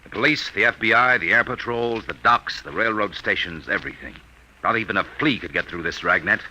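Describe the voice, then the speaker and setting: A man's voice: fairly low pitch, fairly quick, sharp tone. A man playing a driven American army major in an old radio drama, speaking in terse, commanding phrases. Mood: grim, frustrated. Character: tense, driven army major leading a manhunt. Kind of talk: 1950s radio drama acting. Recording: faintly noisy vintage radio recording.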